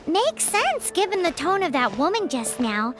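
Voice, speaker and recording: Tiny fairy companion, game character, very high voice, sing-song, bubbly, blunt, music behind. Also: female voice, sing-song. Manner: bubbly, quick to judge. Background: game score.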